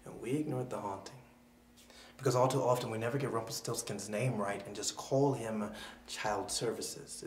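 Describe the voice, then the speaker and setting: A man performing spoken word poetry with a dramatic, deliberate delivery, using pauses and emphasis. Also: medium pitch. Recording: roomy echo with faint background noise.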